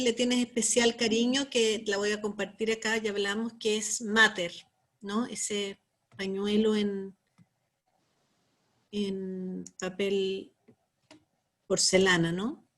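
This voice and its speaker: Woman interviewer, host. Calm prompts, very unhurried delivery. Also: mid-range voice. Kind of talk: interview questions.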